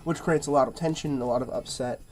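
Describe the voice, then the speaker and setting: A man's voice: mid-range voice, fast talker, darkish tone, soft background music. A man with a stuffy, nasal-sounding nose giving a casual movie review, rambling and padding his speech with fillers and repeated words.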